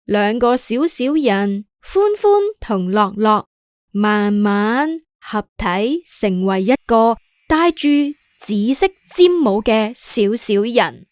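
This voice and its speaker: A woman's voice: medium pitch, animated, slow pace, dry recording, studio quality. A woman with a Hong Kong accent narrating a scripted children's story.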